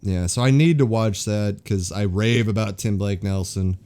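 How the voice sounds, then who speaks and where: A man's voice: on the low side, normal speed, warm tone, smooth. A man co-hosting a film podcast in casual banter, opinionated and sweary with slangy phrasing. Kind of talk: casual banter reviewing films.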